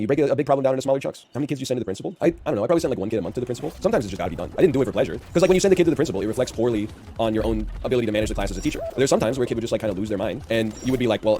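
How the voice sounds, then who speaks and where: A man in his early thirties rattles off rambling stories at a very rapid clip, his deep voice padded with constant "like"s. He hosts a casual game stream, his tone dry and unexcited, and his pitch sits in an ordinary middle range. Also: darkish tone, a little hiss or hum. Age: early 30s. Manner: rambling, talky, heavy on filler "like"s; dry, unenthusiastic delivery. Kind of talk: casual gaming chat and storytelling.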